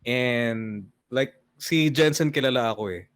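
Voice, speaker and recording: Deadpan older Filipino man. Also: mid-range voice, a little slow, clear, resonant tone, dry recording, clean studio sound. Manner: deadpan, mixes English and Tagalog. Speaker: podcast guest. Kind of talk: casual chat. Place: home room on a video call.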